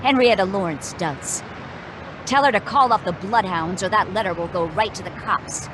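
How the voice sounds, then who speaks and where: Woman playing a gruff, hulking female thug. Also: medium pitch, moderate pace, natural voice. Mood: menacing. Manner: gruff, threatening. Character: hulking, menacing female thug, strong and dim. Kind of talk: hard-boiled detective radio drama. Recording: noisy track with outdoor city ambience.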